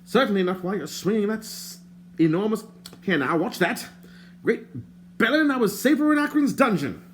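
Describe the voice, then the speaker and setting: A man's voice: high-pitched, expressive, moderate pace, slight background noise, pitched above the performer's own voice. A man voicing a wordy, theatrical male bard in an audiobook, courtly and self-mocking. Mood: alarmed. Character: chatty, flowery wandering male bard.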